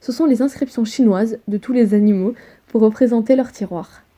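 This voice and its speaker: A woman's voice: on the high side, normal speed, dark tone. A woman co-hosting an online fan theory video, explaining and bantering with a partner.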